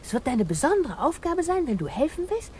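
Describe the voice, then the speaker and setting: An actress playing a gentle, timid, childlike spinster aunt in a radio play, hesitant and repeating her words. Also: high-pitched, big pitch swings, fairly quick. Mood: gentle, instructing. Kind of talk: 1950s German radio play acting. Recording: noisy old 1950s radio play recording.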